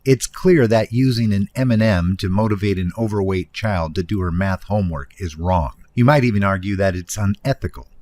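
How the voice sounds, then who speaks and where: A man's voice: on the low side, brisk, few pauses, a little mellow. A man reading scripted nonfiction narration in an explanatory manner, speaking directly to the listener. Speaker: audiobook narrator, author reading own book.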